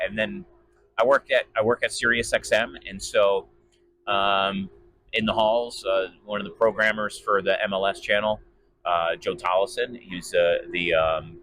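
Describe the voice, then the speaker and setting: A man's voice: fairly low pitch, pushed and bright, slight background noise. A man giving casual interview answers in a hesitant, rambling, conversational way. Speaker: sports PA announcer and voice actor being interviewed.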